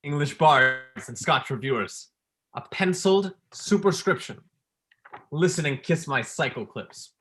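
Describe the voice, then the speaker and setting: Young guy doing a smug showy professor in a play reading, slow and pompous, highish voice. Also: expressive, fairly bright tone, a little hiss or hum. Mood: triumphant. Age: young adult. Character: triumphant, showy male academic reciting old verse.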